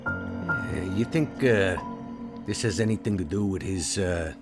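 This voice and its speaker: A man playing a gruff, plain-spoken vampire in a tabletop game, blunt and folksy, with music behind him. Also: animated, measured pace. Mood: skeptical. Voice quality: gravelly, low. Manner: blunt, folksy, drawled slang. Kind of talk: in-character tabletop roleplay.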